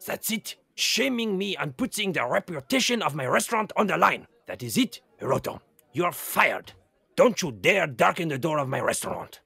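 A man's voice: fairly high pitch, very animated, normal speed, creaky, pushed and bright, breathy edge, no echo, full character voice. An actor playing a pompous, indignant male head chef in a children's audio drama. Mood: furious. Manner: indignant, imperious.

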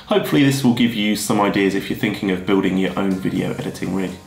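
Husky man, gravelly and low. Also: fast talker. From Australia. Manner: steady, methodical, explanatory. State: husky from a head cold. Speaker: video creator. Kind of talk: scripted tech vlog explanation. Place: plain room indoors, seated at a desk. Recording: roomy echo with music behind.

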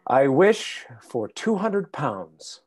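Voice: mid-range voice, sing-song, drawn-out words, loud music behind the voice. An actor in a radio play voicing a mild, credulous older father and husband. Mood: musing. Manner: earnest, mild.

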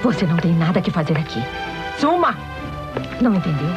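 A man's voice: sits high, big pitch swings, brisk. An actor playing an angry, commanding man in a telenovela melodrama. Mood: furious. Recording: dull TV soundtrack under music.

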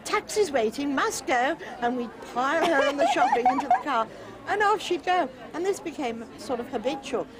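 A woman's voice: high voice, sing-song, normal speed, few pauses. An older English woman in her seventies speaking informally and telling anecdotes in a chatty way. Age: older, 70s. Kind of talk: informal public address and reminiscence. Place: outdoors on a street among onlookers. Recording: noisy outdoor recording with crowd and ambience.

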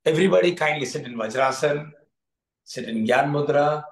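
Guy coach telling the group what to do, bit gravelly, echoey call audio. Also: fairly high pitch, moderate pace. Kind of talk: instructing a group session.

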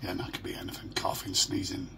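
A middle-aged British man talks casually to his camera in a low, heavily creaky, croaky voice. His delivery is halting and downbeat, like a personal diary. The home recording carries clear noise. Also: completely flat delivery. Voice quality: croaky, gravelly. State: hoarse. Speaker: vlogger. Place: small furnished room at home. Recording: noisy home phone or webcam recording.

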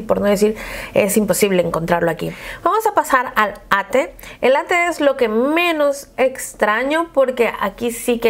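A young woman with a Mexican Spanish accent chatting casually to camera as a video blogger, expressive, gushing and emphatic. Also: a little high. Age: young adult. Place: small furnished room at home with bookshelves.

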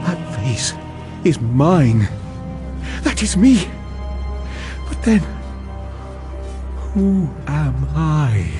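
A man voicing a slow, dazed warrior. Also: on the high side, sing-song, dark tone, faint music behind, exaggerated voice. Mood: dazed disbelief. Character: stunned, bewildered noble warrior doubting who he is.

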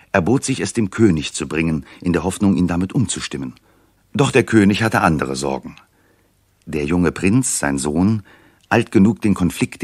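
Narrator for classic radio drama: composed, measured, literary, lower-pitched. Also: male voice, no room sound, clean studio sound. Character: composed storyteller narrator of a royal tragedy.

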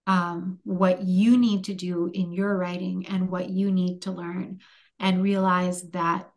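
A woman in her forties whose first language is Hungarian, answering interview questions thoughtfully over a video call from a room at home, with many pauses and restarts. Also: fairly low pitch. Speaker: author guest.

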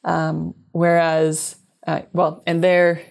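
A woman in her thirties with a low voice, speaking as an expert guest. She explains technical science in a conversational way over a video call from an indoor office. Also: completely flat delivery, slow pace, vocal fry, darkish tone. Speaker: astronomer, interview guest.

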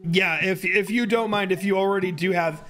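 A man in his early thirties with a hoarse voice, chatting casually as a live streamer on a close mic. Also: high-pitched, brisk, a bit bright. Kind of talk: casual livestream chat. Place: indoor home streaming room. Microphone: close boom-arm microphone. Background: music.

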